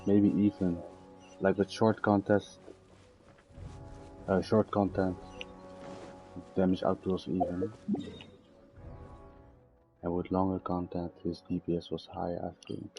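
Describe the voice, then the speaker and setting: A man giving casual gaming commentary as a streamer, in a rambling, halting way. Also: sits low, little pitch movement, muffled recording, faint music behind. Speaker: game streamer.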